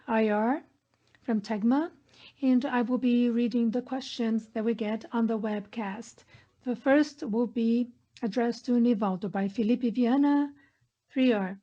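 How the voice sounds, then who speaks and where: A woman interpreting a corporate earnings call in measured phrases, pausing mid-sentence. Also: a little high, mellow. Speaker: interpreter voicing conference call speakers. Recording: dull, lo-fi conference line audio.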